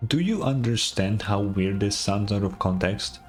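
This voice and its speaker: A man's voice: fairly low pitch, moderate pace, faint music behind. A man giving casual live reactions to a video game, often repeating words as he hesitates.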